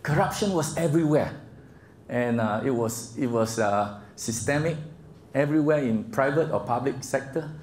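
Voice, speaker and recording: A Singaporean man in his sixties giving a slide presentation to a group, speaking in a halting, gently humorous way. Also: mid-range voice, animated. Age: 60s. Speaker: guest speaker. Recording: echoey room with faint background noise.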